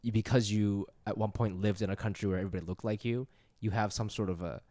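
A man's voice: lowish voice, steady tone, fast talker, warm tone, dry recording, clean studio sound. A man co-hosting a podcast in casual, joking chat, laughing often. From Japan. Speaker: podcast co-host.

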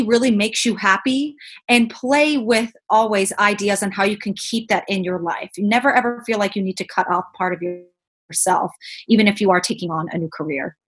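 A woman's voice: medium pitch, fairly bright tone, husky. A woman speaking as a podcast guest, giving conversational interview answers. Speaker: podcast guest, physical therapy student.

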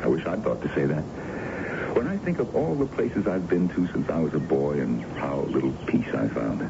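A man's voice: very deep voice, big pitch swings, normal speed, gravelly, exaggerated voice. An actor playing an ardent, world-weary older man wooing his love in a theatrical radio drama style. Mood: earnest, loving. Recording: muffled, noisy old radio broadcast.

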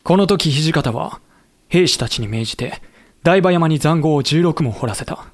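Higher-pitched man acting as samurai official narrator. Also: expressive, moderate pace, close to an everyday voice. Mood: measured narration. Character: composed samurai official recounting war, thoughtful and concerned. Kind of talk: scripted dramatic narration and acting.

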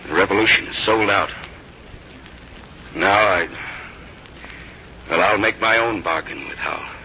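A weary but resolute general with a deep, intensely forceful voice. He speaks with grave, formal oratory in the manner of 1950s radio drama, on a dulled old broadcast. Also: a little slow, exaggerated voice. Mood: bitter. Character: weary, proud, resolute male revolutionary general. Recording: muffled old radio broadcast, faint noise.

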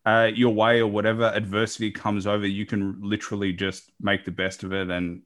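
A man's voice: lowish voice, a bit bright. A man hosting a podcast, chatting casually with a guest in a rambling, encouraging way. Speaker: podcast host. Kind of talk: casual interview chat.

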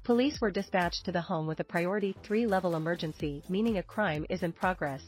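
Low-pitched woman reading tabloid news over music. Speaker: celebrity news narrator. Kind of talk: scripted tabloid news narration. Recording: music bed under the voice. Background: background music.

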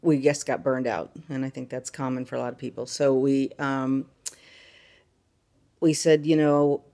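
A mature woman speaks as an interview guest. She tells her own story casually, in a halting way, pausing often with ums and you-knows. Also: extremely low pitch, little pitch movement, warm tone. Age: middle-aged or older. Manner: halting, frequent pauses with um and you know.

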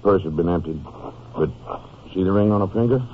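An actor plays a plain-spoken Texas sheriff with a fairly deep voice. He sounds sober and laconic, in vintage radio drama style. The recording is muffled, with a little noise. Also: a little fast, lightly acted. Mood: sombre. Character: sober, folksy male Texas county sheriff. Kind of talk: old-time radio crime drama.

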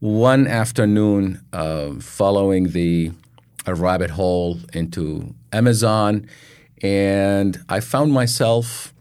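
A man from Iraq telling his story as an interview guest, in halting phrases with frequent pauses. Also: deep voice, darkish tone, smooth, no room sound.

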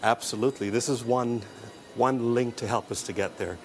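A man speaking as an official interviewee in a measured way. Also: medium pitch, slight background noise. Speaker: airport environmental official being interviewed.

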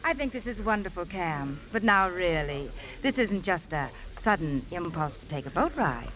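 A woman's voice: lowish voice, lively intonation, moderate pace, a crowd in the background, close to an everyday voice. A woman playing a poised, wary, flirtatious lady in a 1950s radio drama, with a cool, teasing manner. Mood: skeptical, teasing. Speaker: actress in a radio play. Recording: vintage 1950s radio with music.

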